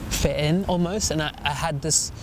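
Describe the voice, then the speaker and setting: A man's voice: a little high, steady tone, moderate pace. A young man from India in his twenties, talking earnestly and thoughtfully in a casual interview, often restarting his sentences. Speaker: musician guest. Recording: noisy outdoor recording.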